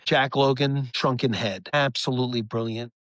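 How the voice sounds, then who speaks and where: Effusive, halting man in a dry-sounding interview. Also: mid-range voice, steady tone, fairly bright tone, no room sound. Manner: effusive, halting, filler-heavy enthusiasm. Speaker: musician guest, songwriter. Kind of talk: casual podcast interview.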